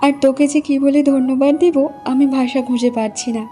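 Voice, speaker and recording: A woman's voice: high-pitched, fairly even intonation, moderate pace, mellow, soft background music, lightly acted. A woman playing a meek, sorrowful village wife in a cartoon, speaking in a plaintive, earnest way. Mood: grateful. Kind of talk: Bengali moral cartoon voice acting.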